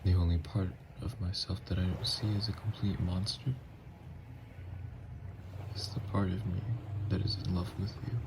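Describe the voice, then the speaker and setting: A man playing a tormented, lovestruck young vampire in an intimate ASMR roleplay, speaking in a slow, halting, hushed murmur. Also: low voice, flat delivery, background noise. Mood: tender, wistful. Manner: slow, halting, hushed confession with long pauses. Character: tormented, lovestruck newly turned male vampire, confessing tenderly. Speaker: ASMR voice actor.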